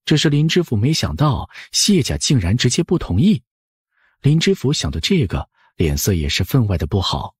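A man's voice: medium pitch, huge pitch swings, normal speed, husky, no room sound, clean studio sound. A man narrating an audiobook in a scripted storytelling style.

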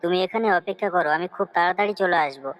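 A woman voicing a cunning fox in a cartoon story, coaxing and flattering as it schemes. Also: lowish voice, very fast, lightly acted. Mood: eager. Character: cunning fox, sweet-talking helpful schemer.

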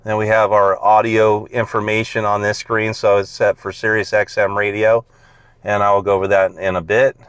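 Smooth-voiced man touring a car. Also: fairly low pitch, little pitch movement, normal speed, fairly bright tone, no room sound. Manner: explains features step by step with frequent fillers. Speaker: car salesman. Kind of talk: casual car walkaround. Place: in and around a car outdoors on a dealer lot.

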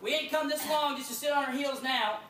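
A male basketball coach gives a rallying pep talk to his players in a locker room. He is emphatic and direct, picked up from a distance in an echoing room with loud noise around him. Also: very high-pitched, brisk. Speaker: high school basketball coach. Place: indoor team locker room. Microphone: camera mic a few meters away.

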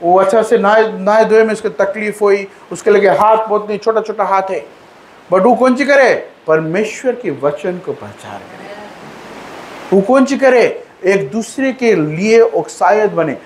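A man's voice: high voice, expressive, measured pace, slight background noise, rough recording. A man preaching a sermon and leading prayer, exhorting with repeated refrains of praise and slipping English phrases into his speech. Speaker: preacher leading a church service.

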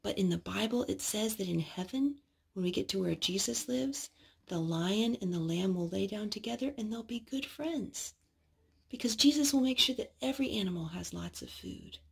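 A woman's voice: mid-range voice, moderate pace, vocal fry, slightly rough. A woman doing storytime at home, talking simply and instructively to young children as she reads and asks them questions. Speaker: parent reading to and singing with young children. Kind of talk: storytime reading and sing-song talk to small children. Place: living room at home, indoors.

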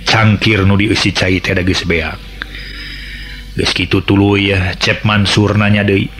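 A man's voice: low voice, little pitch movement, unhurried, fairly bright tone. A middle-aged man with a Sundanese accent narrating a radio folk tale, chatting to listeners in asides. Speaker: storyteller.

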